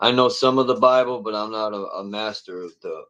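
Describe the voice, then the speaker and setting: Male stream host, low pitch, laid-back rambling chat. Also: a bit bright, lo-fi sound. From Connecticut, USA. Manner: loose and rambling, with halting restarts. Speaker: livestream panel host. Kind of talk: casual livestream chat.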